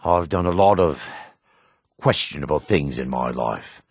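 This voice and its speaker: An actor playing a gentle, pious and remorseful dark elf priest as a video game companion, speaking in a measured, earnest, formal way. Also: male voice, a bass voice, animated, breathy edge, no room sound, clean studio sound, heavily acted.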